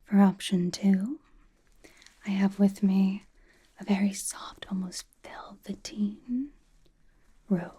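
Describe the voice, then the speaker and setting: Casting: woman to play young female pop idol for ASMR roleplay; very breathy whisper, soft dark tone, dryly doting, casual, fussily over-explains comfort. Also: mid-range voice, fairly even intonation, takes it slowly, pauses often. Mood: cozy, doting. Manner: hushed, casual, playfully over-explaining, dry emphasis.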